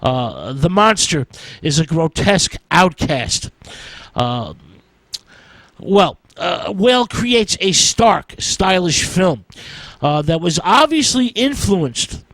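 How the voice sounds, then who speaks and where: A man giving an informal film history talk as a radio presenter, in a halting, hesitant way with an "uh" before nearly every phrase. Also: high-pitched, fairly bright tone, no echo, poor audio.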